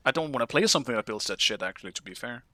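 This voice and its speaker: A man from Denmark with a Danish accent, chatting casually with his live-stream viewers while playing a game, curious and quick to swear. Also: mid-range voice, lively intonation, bright, forceful tone, dry recording.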